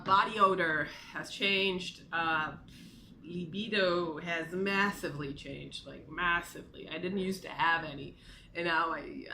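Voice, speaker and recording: Bright-voiced native Hebrew speaker in their thirties. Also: medium pitch, a little hiss or hum. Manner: candid, self-deprecating, jokey. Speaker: video blogger. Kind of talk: casual personal vlog to camera.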